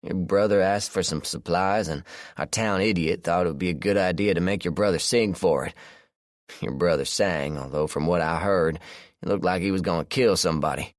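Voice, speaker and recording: A man's voice: low voice, normal speed, no room sound, studio quality, natural voice. A man voicing a friendly, easygoing rural man of about fifty with a Southern drawl, in an audiobook. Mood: storytelling. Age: 50s.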